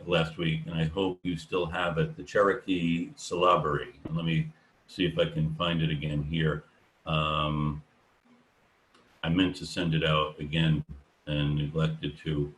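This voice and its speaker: A male professor teaching an online class, asking students questions and explaining slowly, often pausing with "um" as he thinks aloud. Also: a bass voice. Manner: unhurried, thinking aloud with many ums.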